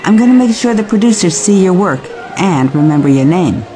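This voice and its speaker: Woman acting breezy, animated event organiser. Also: fairly low pitch, fairly quick, faint music behind, close to an everyday voice. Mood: pleased, breezy. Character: upbeat, confident female event organiser, encouraging. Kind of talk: audio drama acting.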